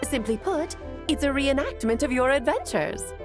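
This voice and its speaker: A woman's voice: high voice, animated, moderate pace, music in the background. A woman playing a playful, mischievous mage mother in a video game, airy, theatrical and teasing. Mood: playfully smug.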